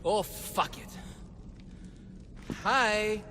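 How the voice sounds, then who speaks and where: Cowardly fake Minotaur, very high, slow, bright, long reverb, video game. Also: male voice, faint music behind, full character voice. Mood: pleading. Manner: booming fake threats, then meek and stammering. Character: cowardly man faking a roaring Minotaur.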